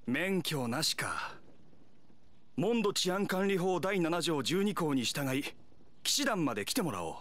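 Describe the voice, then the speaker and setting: A man's voice: a little high, a bit bright, slight background noise. A man playing a dutiful, officious young town knight in an anime game, speaking formally and earnestly. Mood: stern, official. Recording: game music behind the voice.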